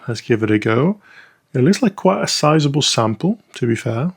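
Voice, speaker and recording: A man's voice: mid-range voice, very animated, normal speed, husky. A man reviewing perfume samples in a casual, chatty way, thinking aloud with lots of ums and small restarts. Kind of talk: casual first-impressions review.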